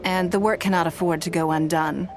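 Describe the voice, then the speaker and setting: An actress plays a composed noblewoman leader in a video game. Her voice sits a little low and her speech is formal and measured, with clear authority. Music plays behind her. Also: fairly even intonation, lightly acted. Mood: composed, gracious. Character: poised, authoritative noblewoman leader employing secretaries. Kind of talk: scripted video game dialogue.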